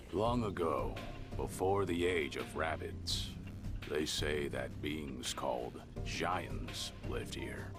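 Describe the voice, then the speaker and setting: A male actor playing a gruff, middle-aged rabbit junk digger in a video game, musing fondly in a folksy, unhurried way. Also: a bass voice, exaggerated voice. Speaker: game protagonist and narrator. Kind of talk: scripted video game monologue. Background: background music.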